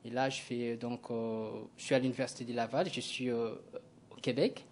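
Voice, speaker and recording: A young man from Kinshasa, answering interview questions slowly and hesitantly, with frequent filler sounds. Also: mid-range voice, flat delivery, a little hiss or hum. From Kinshasa, DR Congo. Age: young adult. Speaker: guest, graduate student.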